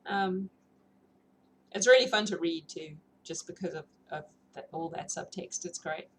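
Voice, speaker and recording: A woman's voice: on the low side, measured pace. A woman with a mixed South African, British and New Zealand accent chats informally and gigglily as the host of a book-reading livestream. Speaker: livestream host who reads novels aloud. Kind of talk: casual livestream chat with viewers. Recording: clearly noisy room ambience.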